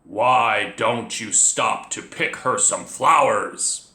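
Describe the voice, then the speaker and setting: Man doing a slow, menacing big bad wolf. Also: mid-range voice. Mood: sly, menacing. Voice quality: dark, menacing. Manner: slow, drawn-out, forceful. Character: big bad hungry wolf, scary, sly male villain. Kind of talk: children's storybook readers theater. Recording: echoey room.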